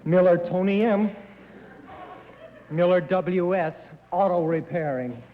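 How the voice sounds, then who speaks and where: An angry young man acted out by a male actor speaks slowly and emphatically in a high voice. The old TV recording is very muffled and echoing. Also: background noise. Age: young adult. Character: angry young man reading listings dramatically. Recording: muffled narrow-band 1950s TV, reverberant hall.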